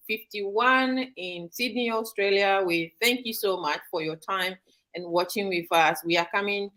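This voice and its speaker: A woman hosting a live online talk show, speaking in a measured way with frequent pauses and fillers. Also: medium pitch, a bit bright. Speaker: host. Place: studio or home in Sydney, Australia.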